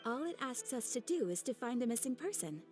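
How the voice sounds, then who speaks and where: A woman's voice: fairly high pitch, very animated, a little mellow. A woman voicing a polite, formal receptionist at an adventurers' guild in a video game. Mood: businesslike. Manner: polite, formal, measured, professional. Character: poised, courteous female adventurers' guild receptionist. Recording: faint music behind the voice.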